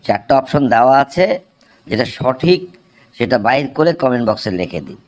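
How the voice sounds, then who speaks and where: A man's voice: medium pitch, sing-song, normal speed. A man with a Bangladeshi accent presenting a scripted cartoon quiz in a formal, explanatory way. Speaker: quiz host.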